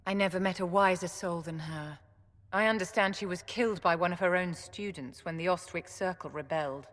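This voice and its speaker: Casting: actress as grave senior enchantress for game, slightly low, stately, deliberate, reverberant hall. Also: female voice, a bit bright, natural voice. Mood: grave, probing. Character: grave, dignified senior mage, composed and probing. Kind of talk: video game voice acting.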